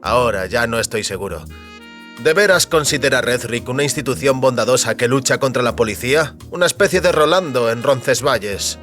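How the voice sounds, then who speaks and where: An actor playing a wary, determined man trapped between powerful forces, speaking deliberately in an audio drama. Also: mid-range voice, a bit bright, soft background music, natural voice. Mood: urgent, determined.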